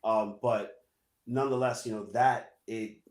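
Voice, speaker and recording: Relaxed New man, echoey room. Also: lowish voice, unhurried. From New York. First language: Spanish. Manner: relaxed, many "you know" fillers. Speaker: guest, assistant principal. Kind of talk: casual interview storytelling.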